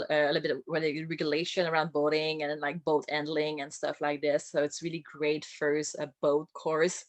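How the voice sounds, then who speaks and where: A woman with a French accent, a boat captain giving an informal career talk. She speaks in a friendly way, with light laughs and many filler pauses. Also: sits low, no echo. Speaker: boat captain and whale-watching skipper.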